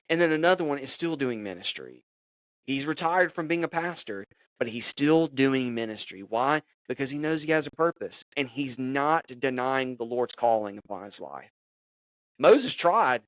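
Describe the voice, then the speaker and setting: A man hosting a podcast, drifting from sports news into an informal sermon. He talks loosely, with lots of "you know" and restarts, at a middle pitch. The recording is dry and close, with no room sound. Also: fairly bright tone, no echo. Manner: conversational, preachy, many fillers. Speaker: podcast host. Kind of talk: casual devotional podcast talk.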